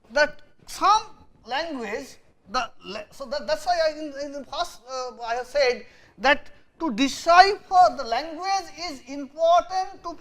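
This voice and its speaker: A university lecturer whose first language is Bengali, speaking English with an Indian accent and explaining patiently, stressing some words loudly and letting line ends fall quiet. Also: high voice.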